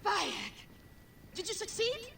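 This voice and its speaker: Slow, high-voiced caring healer. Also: female voice, sing-song, vocal fry, a little hiss or hum, full character voice. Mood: eager. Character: caring, warm ancient Egyptian healer woman. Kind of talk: dramatic video game acting. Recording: loud music over the voice.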